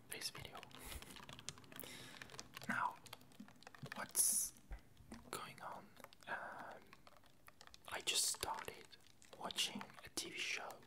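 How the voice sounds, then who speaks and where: A woman whispering a casual ASMR update, talking in halting, softly hesitant phrases. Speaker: ASMR video creator.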